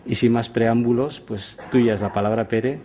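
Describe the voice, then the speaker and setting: A man of ordinary pitch introduces a guest speaker at an event. His delivery is formal, and he keeps pausing on filler sounds between phrases. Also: fairly even intonation, warm tone. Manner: formal, with frequent filler hesitations. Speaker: event moderator.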